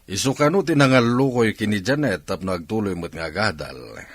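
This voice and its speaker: A man with a Filipino accent playing an observant, businesslike factory boss in a radio drama, speaking with expressive authority. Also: lowish voice, brisk, no room sound. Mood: observant, gossipy.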